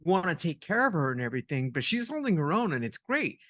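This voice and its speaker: Bright-voiced man, easygoing and laughing. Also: fairly high pitch, big pitch swings, quick pace, no echo, clean studio sound. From San Francisco Bay Area. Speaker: podcast guest. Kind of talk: casual review chat.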